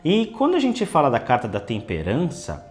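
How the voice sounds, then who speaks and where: A man's voice: mid-range voice, wildly swooping pitch, normal speed, a little mellow, soft background music. Guy teaching tarot on his channel, voice a bit off from dry air, bouncy intonation, keeps tagging stuff with a questioning "né". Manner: chatty, tags many phrases with a questioning "né". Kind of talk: casual explanatory video lesson.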